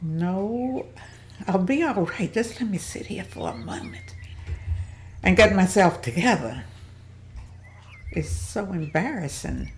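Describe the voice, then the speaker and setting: A woman reading the part of a gracious older community leader in a table read, polite and gentle. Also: medium pitch, expressive, takes it slowly, long pauses, a little hiss or hum. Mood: embarrassed.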